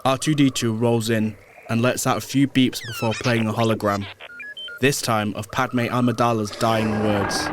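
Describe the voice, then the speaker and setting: A man's voice: medium pitch, fairly even intonation, normal speed, noisy background. A man narrating a scripted audio drama. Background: music.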